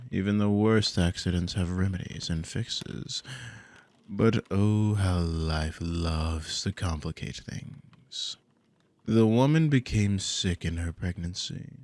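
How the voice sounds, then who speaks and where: A man's voice: deep voice, darkish tone, no room sound, full character voice. A man playing a dominant, possessive demon in an ASMR audio roleplay, speaking in a commanding, condescending, theatrical drawl with smug, silky menace. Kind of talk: ASMR audio roleplay, intimate scripted monologue.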